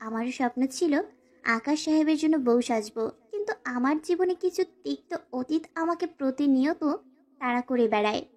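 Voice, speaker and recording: A woman's voice: sits high, animated, brisk, darkish tone. A woman playing a frightened, pleading young student bride in a dramatized first-person romantic audio story. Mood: resigned, wistful. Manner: plaintive, pleading.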